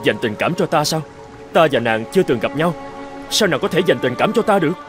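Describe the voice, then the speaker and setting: A man's voice: a little high, lively intonation, a little fast, music in the background, natural voice. A man voicing an earnest captive island chieftain in a cartoon fairy tale, protesting and pleading. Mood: astonished. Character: brave adult male island chieftain held captive.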